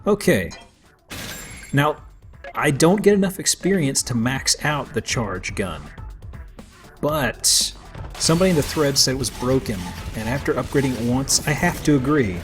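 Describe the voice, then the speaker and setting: A man giving casual video game commentary as he plays, with halting, hesitant phrasing. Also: medium pitch, animated. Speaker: let's player. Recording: game music behind the voice. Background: video game music.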